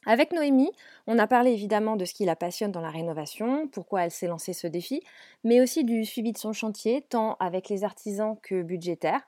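A woman's voice: mid-range voice, animated, brisk, no echo, studio quality. A woman hosting a home renovation podcast, reading a cheerful scripted intro and then chatting with her guest with many fillers. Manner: bright, upbeat delivery in the read intro, hesitant with fillers in conversation. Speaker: podcast host.

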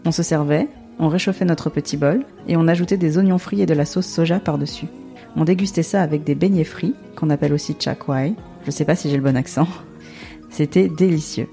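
A woman's voice: sits low, fairly quick, gravelly, dark tone, faint music behind. A woman from France hosting a podcast in a scripted, narrated style. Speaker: podcast host.

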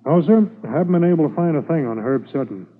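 A man playing a steady, dogged police sergeant in a 1950s radio drama, clipped and matter-of-fact. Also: fairly high pitch, fast. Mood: businesslike. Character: male Mountie sergeant, steady, dogged, respectful investigator. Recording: dull narrow-band old radio recording.

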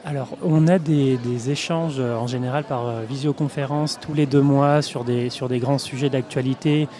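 A man answering interview questions at a trade fair, speaking in measured phrases with frequent hesitations. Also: medium pitch, fairly even intonation, mellow. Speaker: in-house lawyer, guest.

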